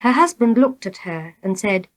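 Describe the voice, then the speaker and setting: A woman reading short tales as scripted narration, in a measured, deliberate manner. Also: fairly high pitch, sing-song. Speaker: storybook reader.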